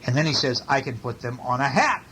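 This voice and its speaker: Male storybook reader for toddlers, highly animated and playful, chatty asides, slightly noisy home recording. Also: fairly quick. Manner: playful, with sound effects and questions to the children. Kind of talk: animated picture-book reading to toddlers, chatty.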